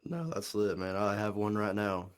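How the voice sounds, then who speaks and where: A man's voice: fairly low pitch, expressive, moderate pace, clean tone. A man hosting a podcast, chatting in a laid-back, slangy way. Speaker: podcast host. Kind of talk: casual interview.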